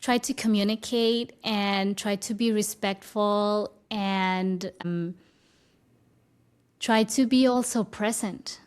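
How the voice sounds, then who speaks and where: Spanish-speaking woman, thoughtful and halting. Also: medium pitch, steady tone, clean tone. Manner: thoughtful, repeats and restarts phrases. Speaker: guest, spiritual teacher. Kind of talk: conversational interview.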